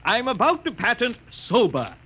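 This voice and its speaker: High-pitched boozy henpecked husband. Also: male voice, wildly swooping pitch, moderate pace, sharp tone, husky, heavily acted. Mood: pompous, ingratiating. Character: boozy henpecked husband, sheepish, grandly bluffing. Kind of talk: 1940s-50s radio comedy acting. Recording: noisy old radio recording.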